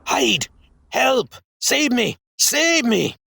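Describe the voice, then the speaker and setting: Man as a high, panicky serpentine villain. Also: big pitch swings, very slow, vocal fry, pushed and bright, no echo, clean studio sound, full character voice. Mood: panicked pleading. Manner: frantic, high-pitched pleading. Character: cowardly male serpent-themed supervillain, desperate and panicky. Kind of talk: superhero comic dub acting.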